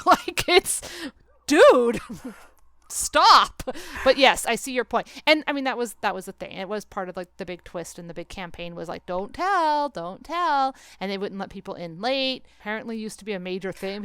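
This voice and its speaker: A woman co-hosting a podcast in casual chat, with an animated, sarcastic manner and a readiness to swear. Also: mid-range voice, smooth, no echo. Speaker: podcast co-host. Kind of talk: casual podcast chat about a film and book.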